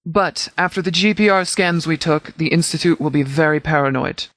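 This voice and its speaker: A man playing a no-nonsense sheriff's department commander in a video game, speaking formally and precisely in measured, scripted briefing style. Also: fairly high pitch, fairly bright tone, dry recording, close to an everyday voice. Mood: composed, authoritative.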